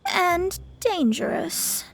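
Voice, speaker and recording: Casting: proud young female astrologist for an anime game, high voice, lofty formal diction, music behind her. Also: big pitch swings, drawn-out words, vocal fry, full character voice. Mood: indignant, lofty. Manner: haughty, formal, precise diction. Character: proud young woman astrologist, haughty but secretly broke.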